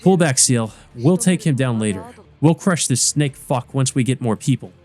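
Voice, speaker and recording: A man's voice: medium pitch, animated, moderate pace, a little mellow, faint music behind, natural voice. Man doing a brash, foul-mouthed enforcer. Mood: gruffly commanding. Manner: crude, swaggering.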